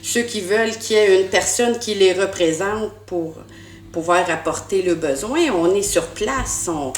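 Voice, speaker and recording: A woman's voice: mid-range voice, a little slow. A middle-aged woman with a Québécois French accent answers interview questions as a school board candidate, earnest and often restarting her words with "euh" fillers. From Quebec, Canada. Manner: earnest, repeats words while searching, many "euh" fillers. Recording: echoey room.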